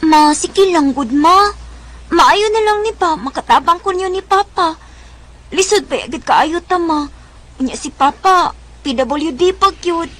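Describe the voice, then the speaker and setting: A woman's voice: very high-pitched, expressive, unhurried, fairly bright tone, heavily acted. A woman playing a dutiful, pleading twelve-year-old girl with a Cebuano Bisaya accent in a radio drama. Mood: tender, pleading.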